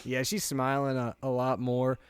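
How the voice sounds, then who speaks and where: A young man in casual podcast conversation, talking loosely and laughing easily as he tells stories. His voice sits at a middle pitch and sounds dry and close, with no room around it. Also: normal speed, smooth, no echo. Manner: chatty, laughs easily, animated when telling stories. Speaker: podcast co-host. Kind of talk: casual chat.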